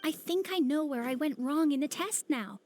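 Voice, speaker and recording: A high, eager girl flier. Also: moderate pace, a little hiss or hum. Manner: bright, earnest, excitable.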